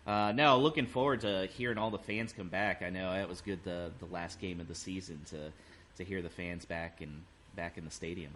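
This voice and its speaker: A man from Texas with a fairly low voice, chatting over a video call. He sounds easygoing and keen as he talks about his team. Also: brisk, fairly bright tone, a little hiss or hum. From Texas, USA. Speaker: guest fan. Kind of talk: casual interview chat.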